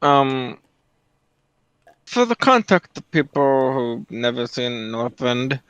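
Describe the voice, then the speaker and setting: A man's voice: mid-range voice, big pitch swings, takes it slowly, a bit bright, clear, resonant tone, no echo, muffled recording. A man giving casual, opinionated commentary as a guest on a stream.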